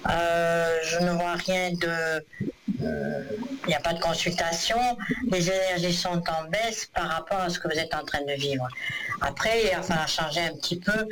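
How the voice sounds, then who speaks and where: Male clairvoyant host, high voice, hesitant and reassuring, noisy recording. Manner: hesitant, many fillers, reassuring. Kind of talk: phone-in psychic reading.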